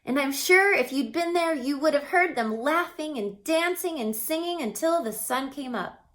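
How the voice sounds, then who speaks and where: A woman reading a children's story aloud with dramatic expression, stretching words and dropping into hushed passages. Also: sits high, animated, moderate pace. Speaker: storybook reader.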